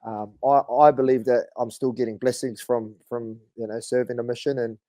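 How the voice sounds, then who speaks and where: A man in his thirties with a New Zealand accent talks in a loose, laid-back way, often tossing in "bro". His voice is warm and mellow. The sound is dry and close. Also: medium pitch, brisk, dry recording. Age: 30s. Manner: laid-back, relaxed storytelling with frequent "bro" and fillers. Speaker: guest, rugby league player. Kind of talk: casual podcast chat.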